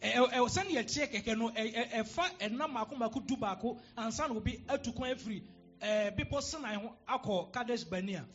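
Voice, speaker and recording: High-voiced male sermon interpreter. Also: fairly quick. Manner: echoes the preacher phrase by phrase, rising to shouts. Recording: dull lo-fi church recording with faint music.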